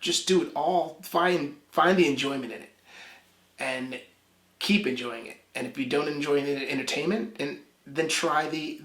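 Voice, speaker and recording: A man's voice: a little high, fairly bright tone. A man, a musician guest, talking casually in an interview, stumbling and restarting often. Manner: halting, many restarts. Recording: echoey room.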